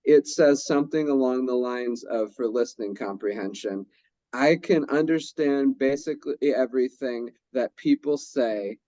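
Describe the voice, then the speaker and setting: Man, mellow voice, dry dull lo-fi sound, workshop presenter who recaps others' points. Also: medium pitch, moderate pace, no echo. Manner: sums up and rephrases what others say. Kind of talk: leading a group discussion.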